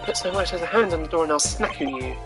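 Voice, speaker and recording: A woman's voice: low-pitched, lively intonation, fast, music in the background. Woman acting a grumpy, snappish female detective. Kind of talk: hobby voicing of video game dialogue.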